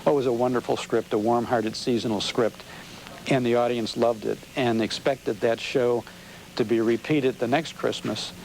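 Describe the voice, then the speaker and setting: An American man, middle-aged or older, explaining things as an unhurried storyteller while being interviewed on television. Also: mid-range voice, fairly even intonation, vocal fry, a little mellow. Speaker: interview guest, old-time radio show host and collector. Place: TV studio interview. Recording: noisy 1990s local TV broadcast.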